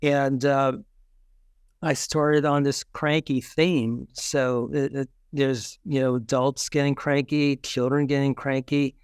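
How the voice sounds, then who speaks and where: Clear-voiced man in halting conversation. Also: medium pitch, little pitch movement, no room sound, studio quality. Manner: halting, repeats words. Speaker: guest children's book author. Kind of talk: casual interview chat.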